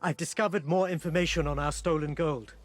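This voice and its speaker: An actor playing a courteous, earnest Indian gentleman conspirator in a video game, speaking formally and articulately. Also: a little high, steady tone, fairly quick, background noise, lightly acted. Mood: intent, puzzled. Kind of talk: video game cutscene acting. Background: background music.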